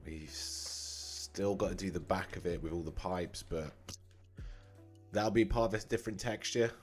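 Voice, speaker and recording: A low-voiced man with a slightly croaky, scratchy throat talks through his work in a live-stream tutorial. He muses aloud with fillers and restarts, in an easy, casual way. Faint music plays softly behind him. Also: measured pace. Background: soft background music.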